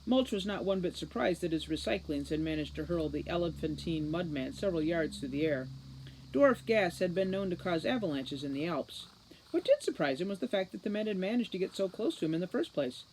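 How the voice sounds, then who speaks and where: A woman's voice: on the low side, lively intonation, a little fast, a little mellow, slight background noise, poor audio. A woman reading scripted storybook narration as an audiobook reader.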